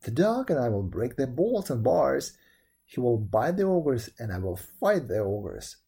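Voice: mid-range voice, very animated, a little slow, vocal fry, warm tone, breathy edge, exaggerated voice. A storyteller voicing a brave, cheerful young hero boy in a storybook tale, confident and plain-spoken. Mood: commanding. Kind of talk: storybook character voice.

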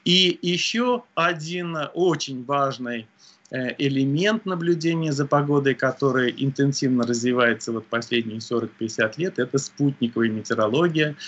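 A man answering interview questions about weather observation, speaking in a measured way with frequent hesitations. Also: medium pitch, a bit bright, muffled recording. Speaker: meteorologist guest.

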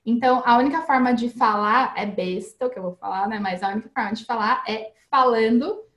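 A woman's voice: fairly high pitch, fairly quick, fairly bright tone, echoey room. A woman guest giving casual, chatty advice on learning English, explaining with everyday examples and frequent tag questions.

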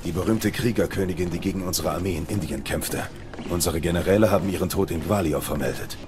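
An actor playing a stern, sceptical Victorian knight in an audio drama, gruff, terse and indignant. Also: male voice, deep voice, fast talker, noisy background, close to an everyday voice. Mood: suspicious. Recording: voice under loud music.

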